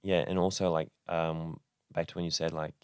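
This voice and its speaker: A young man speaks as a podcast guest, easygoing and unhurried in a casual chat. His voice sits very low, and the recording is dry and close, with no room sound. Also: little pitch movement, clear, resonant tone, no room sound. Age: young adult.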